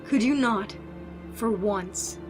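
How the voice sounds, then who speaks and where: A woman's voice: mid-range voice, takes it slowly, music in the background, lightly acted. A woman playing a bitter, guilt-ridden, foul-mouthed woman in an audio drama, blunt and defensive in manner. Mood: despairing, pleading.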